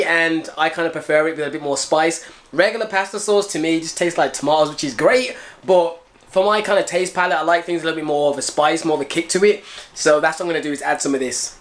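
A man's voice: a little high, quick pace. A British man in his twenties chatting casually to camera as a fitness vlogger, explaining things as he goes. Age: 20s. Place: small home kitchen, indoors. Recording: roomy kitchen echo, clear background noise.

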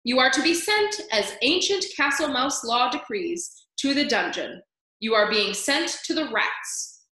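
A woman voicing a pompous, stern male head mouse who judges a trial, speaking in loud, slow, formal pronouncements. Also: a little high, natural voice. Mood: booming. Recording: echoey room.

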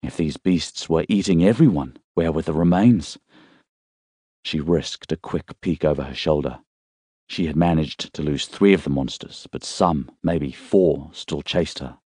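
A man reading a thriller novel as an audiobook narrator, in a measured, suspenseful storytelling way. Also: extremely low pitch, warm tone, breathy edge, no echo, studio quality.

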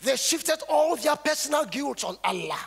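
An earnest, troubled Nigerian palace guard reports to his father in a stage play. His high, creaky voice is declamatory and very lively in pitch. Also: normal speed, faint music behind, exaggerated voice. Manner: declamatory, dramatic. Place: theatre stage.

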